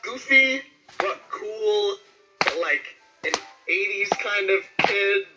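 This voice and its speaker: A man being interviewed as a voice actor, chatting casually and telling stories in an animated way. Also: high voice, slow, dull audio, music in the background. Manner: animated storytelling, acting out quoted exchanges.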